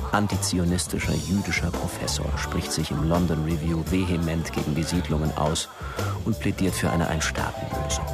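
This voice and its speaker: Radio thriller lead: a dry, observant storyteller with a low, creaky voice over music. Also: male voice. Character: wry, observant male narrator in a spy thriller. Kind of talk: scripted radio drama narration.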